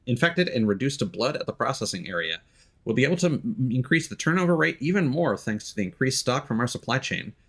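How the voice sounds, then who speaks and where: A man's voice: mid-range voice, fairly bright tone. A man giving casual gameplay commentary as a streamer, muttering to himself and reading in-game notes aloud.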